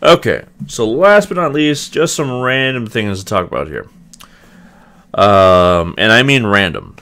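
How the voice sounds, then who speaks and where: A young man talks through a video game in a casual, rambling way, hedging as he goes. His voice sits low but swings up and down a lot, with a slight rasp. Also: very animated, a little slow, pushed and bright. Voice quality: slightly raspy. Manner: rambling, hedging, many ums and restarts. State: clearing throat, voice getting raspy. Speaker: gaming video host. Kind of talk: casual gameplay review commentary.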